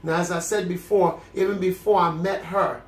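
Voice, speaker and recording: Young male host, congested, earnest and preachy, higher voice, roomy echo. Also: steady tone, moderate pace. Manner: earnest, preacherly, with frequent restarts. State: congested, with a cough. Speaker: host of a celibacy video series. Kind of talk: candid faith talk to camera. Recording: echoey room.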